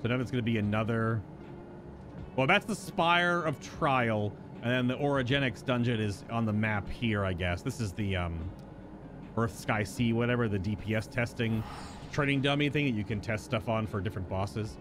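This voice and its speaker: A man's voice: mid-range voice, moderate pace, noisy background. A man doing casual live game commentary, musing and rambling as he thinks aloud. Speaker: game streamer.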